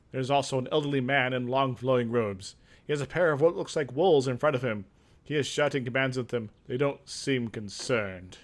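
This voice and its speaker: A man hosting a gaming video, reading a fantasy game aloud theatrically and dropping into casual asides. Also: medium pitch. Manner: theatrical storytelling broken by offhand asides. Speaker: gaming video host and narrator.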